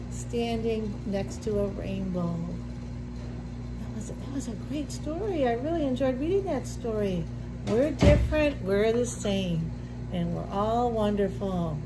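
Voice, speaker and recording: A middle-aged woman reading a picture book aloud to young children, with deliberate simple phrasing and little asides. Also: on the high side, animated, very noisy. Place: indoor classroom with sink and counter.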